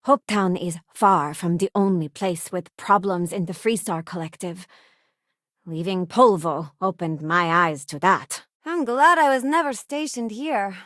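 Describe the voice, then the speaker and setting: A woman's voice: on the low side, a little slow, fairly bright tone, no room sound, studio quality. A woman playing a principled, self-assured working-class spacefarer who serves as a crew companion in a video game. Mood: friendly, upbeat. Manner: earnest, plain-spoken, self-assured.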